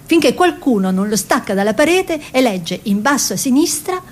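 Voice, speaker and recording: Una pittrice italiana arguta. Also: female voice, big pitch swings, moderate pace. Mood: nostalgic. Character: spirited self-taught Italian woman painter, former artists' model, reminiscing. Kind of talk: theatrical monologue and dialogue for radio. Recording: radio play with faint noise and rumble.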